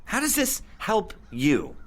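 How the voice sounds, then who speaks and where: Volatile, sardonic talk show host. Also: male voice, sits high, wildly swooping pitch, a bit bright, heavily acted. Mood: wary. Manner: sarcastic, emphatic, halting when worked up. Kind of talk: audio drama acting.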